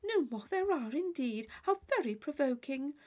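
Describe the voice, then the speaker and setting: A woman voicing a placid, fussy married gentlewoman in an audiobook, chattering in genteel exclamations. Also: high voice, animated, moderate pace, voice pushed up. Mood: placidly agreeing.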